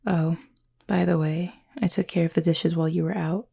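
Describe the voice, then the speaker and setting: A woman playing a gentle, formal cupid roommate in an intimate roleplay audio, speaking softly and breathily with measured, teasing politeness. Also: fairly low pitch, steady tone, heavily acted. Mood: composed, fond. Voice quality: soft, breathy, slightly husky. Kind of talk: intimate roleplay audio acting, addressed to listener.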